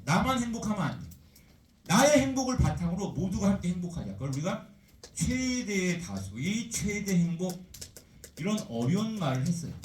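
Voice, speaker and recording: Guy teacher lecturing, chatty and animated, asking the class stuff all the time, echoey room, mic a bit far off. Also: high voice. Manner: chatty, animated, full of rhetorical questions.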